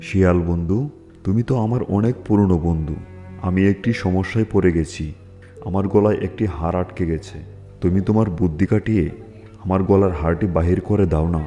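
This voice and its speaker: A sly, boastful lion played by a man speaks in a very low voice, coaxing and scheming his way along. It is a fable cartoon, with clear music running underneath. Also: normal speed, dark tone, natural voice. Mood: pleading. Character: cunning male lion with a bone stuck in throat. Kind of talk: children's fable cartoon dialogue.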